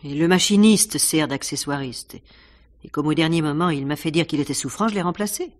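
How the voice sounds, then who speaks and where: Low-voiced, worldly theatre wife played by an actress. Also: female voice, brisk, natural voice. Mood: matter-of-fact. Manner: matter-of-fact, knowing, dryly candid. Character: composed, worldly theatre wife answering an inspector. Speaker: actress in a radio mystery. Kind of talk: French radio mystery drama acting.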